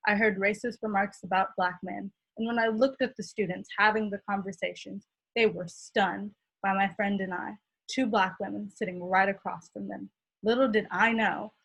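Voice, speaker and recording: A woman's voice: medium pitch, a bit bright, husky, poor audio. A teenage girl from California giving an earnest, impassioned prepared speech as a student speaker. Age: teens.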